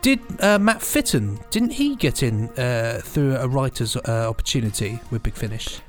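Casting: male podcast host, medium pitch, encouraging, chatty presenting over faint music. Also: very animated, moderate pace. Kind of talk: casual news presenting.

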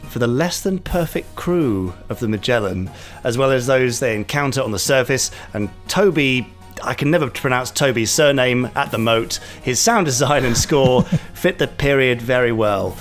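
A man's voice: medium pitch, normal speed, faint music behind. A man co-hosting a podcast, playfully reading listener reviews aloud in casual banter. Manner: playful, reads quotes aloud with mock gusto. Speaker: podcast co-host.

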